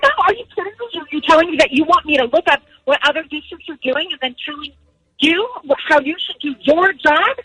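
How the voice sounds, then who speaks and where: A woman with a husky voice in a spontaneous phone conversation, questioning and incredulous in manner. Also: sits high, animated, quick pace, fairly bright tone, no room sound. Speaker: parent, prank call victim. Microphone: telephone. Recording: phone call with faint music behind.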